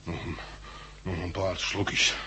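An actor playing a drunken, despairing blind pub landlord who rambles in coarse, slurred, bitter speech in a radio drama. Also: male voice, low-pitched, level tone, takes it slowly, sharp tone, full character voice. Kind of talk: 1960s radio drama acting. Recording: old 1960s radio recording, faint hiss.

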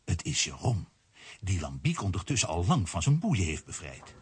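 A man's voice: sits low, huge pitch swings, moderate pace, slightly rough, exaggerated voice. A man narrating a children's audio drama as a wry, dramatic storyteller.

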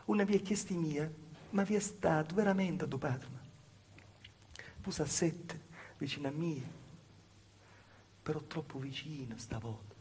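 A male stage actor with a Calabrian accent playing a simple, honest village woman in an intimate dialect monologue, confiding and halting, with repeated phrases. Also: fairly high pitch, a little mellow, a little hiss or hum, natural voice. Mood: wary, confiding. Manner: confiding, halting, repetitive storytelling. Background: live music.

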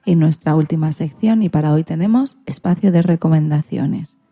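Low, creaky, very mellow woman host. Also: moderate pace. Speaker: radio programme host. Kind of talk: hosting a radio magazine programme.

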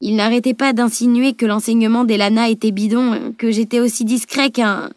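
A woman voicing an impulsive, cheeky teenage boy, excitable and quick to justify himself, in an audiobook. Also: fairly high pitch, no room sound, clean studio sound, lightly acted. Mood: sheepish. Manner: excitable, cocky, self-justifying. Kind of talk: dramatised audiobook dialogue.

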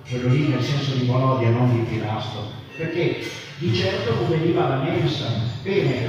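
A man performing a dramatic first-person spoken-word story in a colloquial, emphatic way, with conversational asides. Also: on the high side. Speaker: author reading his story at a festival. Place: live festival venue at an occupied factory. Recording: very echoey, distant live festival recording with music. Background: loud electronic music.

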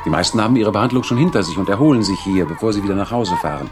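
A man's voice: on the low side, lively intonation, rapid-fire, music in the background, close to an everyday voice. A man playing a friendly children's village director in a radio drama, talking casually and encouragingly as if to a child.

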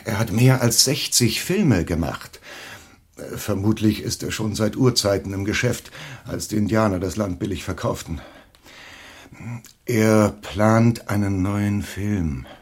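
Radio drama: portly shady agent, cajoling fixer, low rough-edged husky voice. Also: male voice, measured pace. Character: fat, wheedling Hollywood film agent, a shady fixer. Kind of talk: German radio play dialogue.

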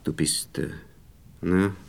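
A man's voice: low voice, steady tone, slow pace, vocal fry, full character voice. An actor playing an evasive, condescending Viennese man in a radio play, cajoling and patronising in a hesitant way, with a Viennese accent. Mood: earnest, hesitant. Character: evasive, condescending married Viennese man courting a young woman. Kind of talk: naturalistic radio play dialogue.

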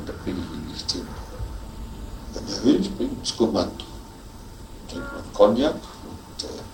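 Distant, echoey low male voice, halting and emphatic. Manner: halting, emphatic, repeats himself. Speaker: former wartime officer recounting events. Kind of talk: spontaneous recollection. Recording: echoey, distant, noisy archive tape.

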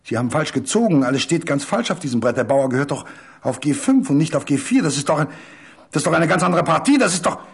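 A man voicing a feverish, high-strung gentleman chess player in a radio play, agitated and formal, with a spiteful edge. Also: expressive, fast, vocal fry, breathy edge, exaggerated voice. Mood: agitated, confused.

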